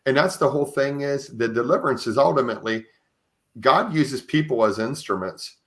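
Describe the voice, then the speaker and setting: A man's voice: mid-range voice, brisk, a bit bright. A man hosting an interview podcast, talking conversationally in an earnest, explanatory way with repeated starts to his phrases. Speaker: podcast host. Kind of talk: conversational interview, sharing religious experiences.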